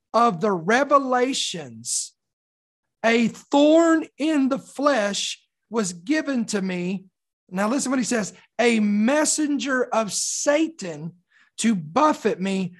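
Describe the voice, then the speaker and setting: A preacher with a slightly raspy, mildly hoarse voice, giving an expository sermon and reading scripture with deliberate emphasis on key words. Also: mid-range voice. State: mildly hoarse from sore throat.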